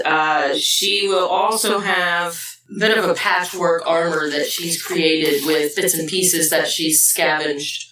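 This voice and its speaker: A woman in a casual tabletop game chat, pausing to think as she introduces her character. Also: on the low side, sharp tone. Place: indoor room, group around a table. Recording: echoey, distant mic, faint noise.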